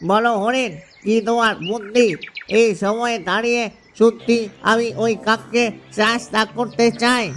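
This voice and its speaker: Father gander for a cartoon, male actor: wary husband, unusually high, little pitch movement. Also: little pitch movement, normal speed, fairly bright tone, slight background noise. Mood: resolved, wily. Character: worried father gander, cautious, scolding, wily. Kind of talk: cartoon story voice acting.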